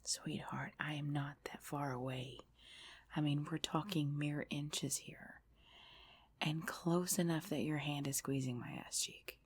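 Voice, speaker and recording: A woman playing an affectionate, sleepy girlfriend in an ASMR roleplay, whispering and murmuring softly with a breathy voice. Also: low-pitched, fairly even intonation, unhurried, heavily acted. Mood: tender, teasing.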